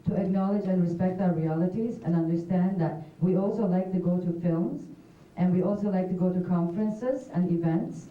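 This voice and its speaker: A woman speaks earnestly and directly from a stage microphone in a theatre. Her voice is heard from a distance through a dull, muffled tape recording. A roomy echo hangs behind her words. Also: on the low side. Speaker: festival audience speaker. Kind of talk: impassioned public address.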